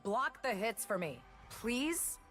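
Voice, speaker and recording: A woman voicing a dark-humored, cocky female rebel warrior for a video game, with a deadpan, sarcastic manner. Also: on the high side, slow, faint music behind, full character voice. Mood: dry, teasing. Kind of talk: game voice lines.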